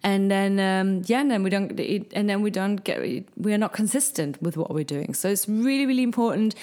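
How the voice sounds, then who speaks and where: Husky-voiced upbeat woman podcast host. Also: medium pitch, a little fast, darkish tone. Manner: upbeat, encouraging, motivational. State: husky from little sleep. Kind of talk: casual solo podcast talk. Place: hot top-floor apartment.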